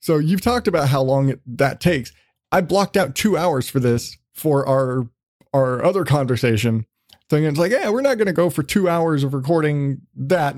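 A man's voice: mid-range voice, darkish tone, no room sound, studio quality. A man hosting a podcast in a casual, rambling, sweary chat style. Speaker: podcast host.

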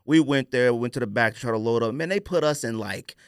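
A man's voice: mid-range voice, fast talker, clear, resonant tone, no room sound, clean studio sound. A man hosting a podcast, telling stories in a casual, colloquial, opinionated way and acting out quoted exchanges. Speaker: podcast host.

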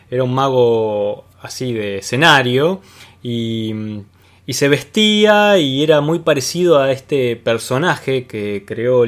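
A man's voice: medium pitch, a bit bright, echoey room. A man with an Argentine accent hosting a podcast, chatting casually about comics. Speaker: podcast host.

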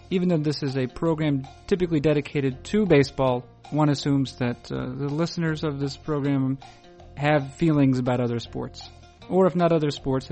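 A man hosting a podcast, talking in a dry, wordy, formal deadpan. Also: fairly high pitch. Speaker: podcast host. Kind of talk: deadpan scripted intro and ad read.

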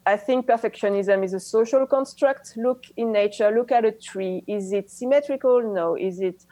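A woman with a French accent, a native French speaker, talking as a guest about coaching in a casual interview, enthusiastic and halting, with many restarts. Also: mid-range voice, mellow, no echo, low-quality recording.

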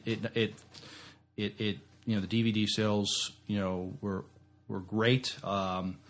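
A man co-hosting a podcast in casual discussion, halting and often repeating the starts of words. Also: fairly low pitch, flat delivery. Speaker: podcast co-host.

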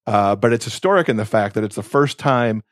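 A man's voice: lowish voice, animated, a little fast, dry recording, clean studio sound. A man chatting casually and effusively about books as a podcast co-host, with emphatic delivery. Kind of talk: casual enthusiastic book chat.

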